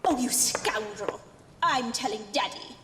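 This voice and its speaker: A woman's voice: fairly high pitch, lively intonation, unhurried, sharp tone. A woman playing a spoiled, melodramatic young fiancée who scolds and whines in a comedic horror audio drama. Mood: indignant. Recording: echoey hall, noisy, clicks.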